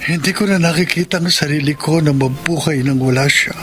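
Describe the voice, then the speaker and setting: A man's voice: on the high side, a little fast, close to an everyday voice. A man with a Filipino accent playing a guilt-ridden, lovelorn young son in a radio drama, anguished and melodramatic. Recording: radio drama with clear background noise.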